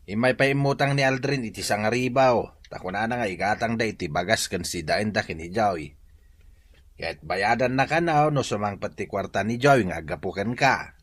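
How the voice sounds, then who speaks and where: A man playing a gossiping, complaining village neighbour in a comedy drama, with an Ilocano Filipino accent and exclamatory delivery. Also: on the low side. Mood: complaining, gossipy. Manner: animated, exclamatory, drawn-out interjections.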